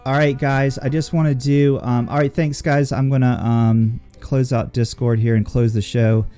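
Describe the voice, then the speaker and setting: A man's voice: mid-range voice, flat delivery, moderate pace, mellow, clear, resonant tone, soft background music. A man hosting a casual chat show, talking in a blunt, rambling way with plenty of swearing. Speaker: podcast host. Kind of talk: casual chat, profanity-laden.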